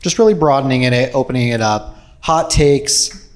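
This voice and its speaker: A man's voice: medium pitch, normal speed. A man moderating a conference panel, who phrases things casually and loosely, with fillers.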